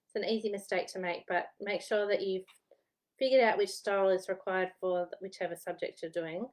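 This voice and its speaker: Woman, Australian accent, thirties, casual vlog, chatty and rambling, slightly hoarse, at home. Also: mid-range voice, steady tone, brisk. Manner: chatty, rambling, laughs at herself. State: slightly losing her voice. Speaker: historian giving study tips.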